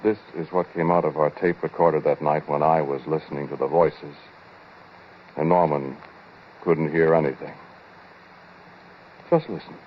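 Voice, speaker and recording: An actor playing a haunted, obsessive scientist who narrates in halting, dramatic 1940s radio horror style, with deliberate pauses. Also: male voice, rumbling low, steady tone, a little hiss or hum. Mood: ominous. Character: haunted, obsessive male scientist narrating his strange experiment. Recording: dull vintage 1940s radio recording, loud music.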